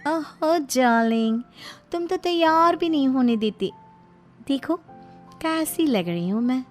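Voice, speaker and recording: Woman acting a spoiled, shrill-pitched young city wife. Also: lively intonation, slow, soft background music. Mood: coy, showy. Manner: demanding, affected, syrupy with endearments.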